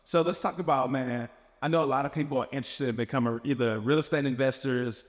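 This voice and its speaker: A man's voice: medium pitch, fast, big-room sound. A man hosting a casual interview, encouraging and friendly-sounding, saying "bro" and "yeah" a lot. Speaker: interview host.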